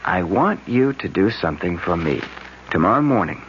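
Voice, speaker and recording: A man's voice: deep voice, sing-song, moderate pace. An actor playing an easygoing young man who hypnotizes a friend, in a 1970s radio drama. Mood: soothing. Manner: easygoing, soothing. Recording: dull old radio broadcast, faint hiss.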